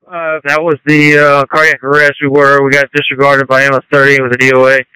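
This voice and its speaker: A man's voice: medium pitch, monotone, brisk, sharp tone, clear, resonant tone, no room sound, low-quality recording. A man on a medic crew, giving terse reports over two-way radio. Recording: fire department two-way radio traffic.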